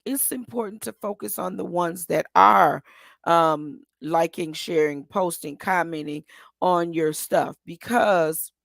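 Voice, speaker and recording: A woman hosting her own show, hoarse today, giving a rambling, encouraging talk full of ums and restarts. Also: lowish voice, takes it slowly, no room sound, clean studio sound. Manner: encouraging, asks listeners to agree. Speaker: host. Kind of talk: casual motivational talk.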